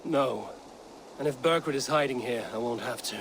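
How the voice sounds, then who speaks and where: A man's voice: mid-range voice, moderate pace, a bit bright, natural voice. Man playing a terse young Viking leader, noisy ambience. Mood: resolute. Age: young adult. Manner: terse, decisive. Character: young Viking raider leader, resolute and practical. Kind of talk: video game dramatic dialogue. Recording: clear background noise.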